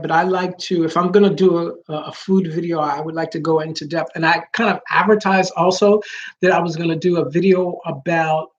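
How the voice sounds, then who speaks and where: A man with a naturally high voice who talks loudly, rambling with many fillers and restarts in a casual live chat with his viewers. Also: lo-fi sound. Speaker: vlogger.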